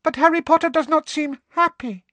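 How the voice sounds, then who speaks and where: A man voicing a small, eager, devoted male house elf with a squeaky voice who refers to himself in the third person. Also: fairly quick, pushed and bright, no echo. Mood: earnest.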